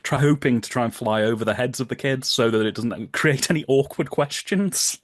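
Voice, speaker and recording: A British man talks as a podcast guest in casual, enthusiastic explanations. His voice sits at a middling pitch and he phrases things colloquially and vividly. The recording is close and dry. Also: moderate pace, no room sound. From United Kingdom.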